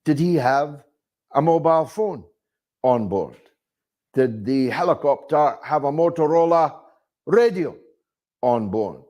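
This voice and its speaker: A man delivering political commentary as a monologue, phrasing deliberately and emphatically, with rhetorical questions and repetition. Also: on the high side, darkish tone. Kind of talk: spoken political commentary to an audience.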